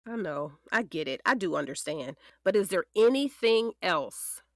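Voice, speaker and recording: A woman playing a sharp, protective, sassy defense lawyer who questions frankly and colloquially in an audio drama. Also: lowish voice, animated, moderate pace, no echo, clean studio sound. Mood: pressing, restrained.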